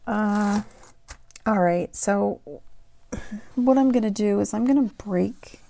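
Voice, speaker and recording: Slow, gravelly-voiced woman showing thrift finds. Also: medium pitch, dark tone. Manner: slow, unhurried, chatty with fillers. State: voice getting raspy. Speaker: thrift haul vlogger. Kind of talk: casual haul show-and-tell.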